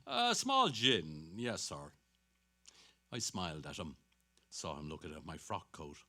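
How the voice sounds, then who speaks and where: A man's voice: sits low, big pitch swings, unhurried, sharp tone, heavily acted. An actor playing a vain, dapper middle-aged salesman who chatters to himself in a dramatic stage reading. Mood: hearty, obsequious. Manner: self-satisfied, muttering to himself between hearty greetings.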